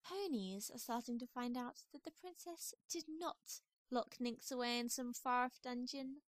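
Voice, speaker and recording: A woman's voice: a little high, moderate pace, no echo, close to an everyday voice. A woman playing a refined, gossipy female pony who frets over her friend in an amateur audio drama. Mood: gossipy, concerned.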